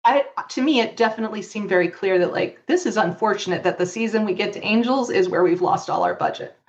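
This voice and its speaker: A woman's voice: medium pitch, fast, a little mellow, muffled recording. A woman speaking as a podcast guest in a casual, rambling, opinionated conversational style. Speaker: podcast guest, fan fiction author.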